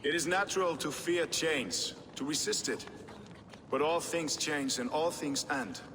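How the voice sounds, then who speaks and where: A man's voice: on the high side, noisy background, close to an everyday voice. Man playing a regal Norse king, echoing hall. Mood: measured, commanding. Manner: formal, deliberate, regal. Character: commanding, measured Norse king holding court. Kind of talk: dramatic scripted game acting. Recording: echoey hall with music underneath.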